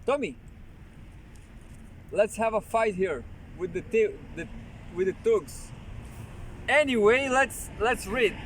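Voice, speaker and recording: Slow, high-voiced man reading with restarts. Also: fairly bright tone, a little hiss or hum. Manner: halting, with frequent restarts and joking asides. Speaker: channel host reading articles aloud. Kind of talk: casual commentary while reading an article.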